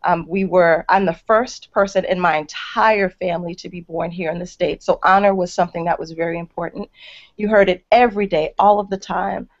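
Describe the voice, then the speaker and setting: A woman's voice: on the low side, fairly even intonation, fairly quick. Passionate, emphatic woman school leader. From Brooklyn, New York. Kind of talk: panel video chat. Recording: dull lo-fi sound.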